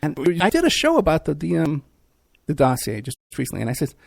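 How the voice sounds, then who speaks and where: A man hosting a podcast, ranting in an animated, repetitive talk-radio monologue, hoarse and losing his voice. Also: fairly high pitch, brisk, no room sound, clean studio sound. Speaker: podcast host.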